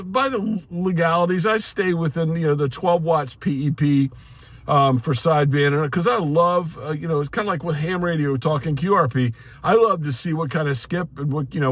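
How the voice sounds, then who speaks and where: An older American man with a slightly high voice talks informally and earnestly, giving his opinions in plain words. He doubles back and repeats words as he goes. The sound is dry and close, with little room in it. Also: a bit bright, no echo. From United States. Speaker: amateur radio hobbyist giving commentary. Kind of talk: informal opinion monologue to camera.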